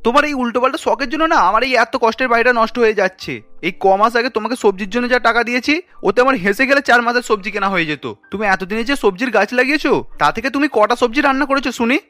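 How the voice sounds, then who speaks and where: A man's voice: very high voice, fast talker, bright, forceful tone, faint music behind. A man playing an exasperated, reproachful young husband in a cartoon. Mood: angry. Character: exasperated young husband who grudgingly gives in. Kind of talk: animated cartoon story acting.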